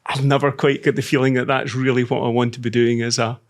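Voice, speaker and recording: A middle-aged man with a Scottish accent, a podcast guest who talks in a measured, self-deprecating way with frequent restarts. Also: medium pitch, flat delivery. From Scotland. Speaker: podcast guest, semiconductor executive. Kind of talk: casual interview talk.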